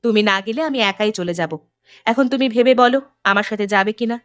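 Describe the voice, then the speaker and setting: A woman's voice: mid-range voice, fairly quick, natural voice. A woman playing a fearless, level-headed young village daughter in a horror cartoon, firm and questioning. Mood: determined. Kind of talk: animated horror story acting.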